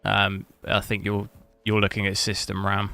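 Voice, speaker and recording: A man streaming a game, chatting casually with rambling, halting restarts. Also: fairly low pitch, completely flat delivery, vocal fry, bright, forceful tone, faint music behind. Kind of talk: casual live stream chat.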